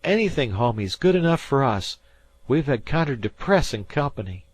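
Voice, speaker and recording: A man's voice: medium pitch, very animated, moderate pace, no echo, voice pushed up. A man voicing a frightened teenage fisherman boy who talks in rustic old-time dialect. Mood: spooked.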